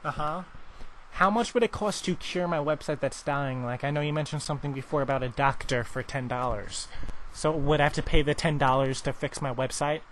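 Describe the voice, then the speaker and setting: A man's voice: on the high side, fairly quick, slight background noise. A man making a prank call in improvised absurd chat, rambling with feigned confusion. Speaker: prank caller.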